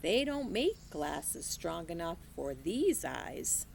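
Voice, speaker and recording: A woman reading aloud as a wise, gentle old woman, speaking slowly in a soothing, folksy way. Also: fairly low pitch, sing-song, gravelly, slight background noise, full character voice.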